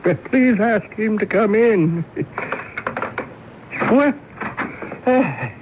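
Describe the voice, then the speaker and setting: A muffled old radio broadcast presents an elderly, befuddled company boss with a high voice. He speaks very slowly, dithering and stammering with gentle, lonely friendliness. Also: expressive, background noise, heavily acted. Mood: befuddled, genial. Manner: dithering, stammering, genial, chuckling. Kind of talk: 1970s radio drama acting.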